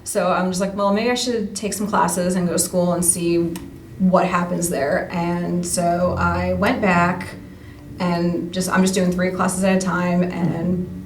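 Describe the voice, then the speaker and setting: A woman in her thirties talks in a loose, self-deprecating, conversational way as a guest in an interview. Her pitch is average, and the recording is roomy and a little distant from the mic, with some noise behind her. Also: flat delivery, measured pace. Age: 30s. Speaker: radio play cast member being interviewed. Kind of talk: casual chat.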